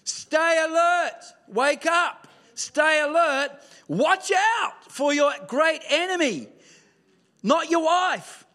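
A pastor with a high voice preaches to his congregation. He tells stories with humour and punches key lines with a raised voice. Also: takes it slowly. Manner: lively storytelling with humour, rising to shouts. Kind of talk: sermon. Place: church hall with screen.

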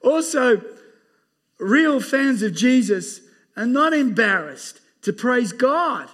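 A loud, booming Australian man preaches with fervor. He speaks in a high register, and his tone is bright and forceful. He punctuates his sermon with emphatic amens. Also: sing-song, takes it slowly. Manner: emphatic, laughing, exclaiming amen. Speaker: pastor. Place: church.

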